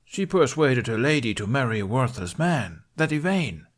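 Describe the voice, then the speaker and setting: A hostile knight issues stern challenges as a male narrator puts him on. The voice is gruff and stagey, lifted above a normal speaking pitch for the storybook play. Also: very animated, moderate pace. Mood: menacing.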